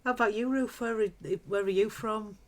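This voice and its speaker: A woman's voice: fairly high pitch, steady tone, fairly quick. A woman hosting a podcast who interviews her guests with short, friendly questions. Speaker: podcast host.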